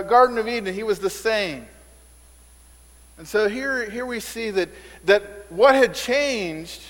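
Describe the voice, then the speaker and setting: Man preaching in a reverberant hall. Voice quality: says he has a squeaky voice. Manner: conversational preaching, repeats words, rises in emphasis. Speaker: pastor. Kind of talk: sermon.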